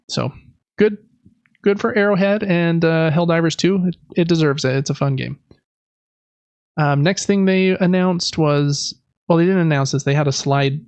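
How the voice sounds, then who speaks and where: A man's voice: on the high side, dry recording. A man hosting a podcast, casually recapping news in a conversational way with explanatory asides. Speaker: podcast host.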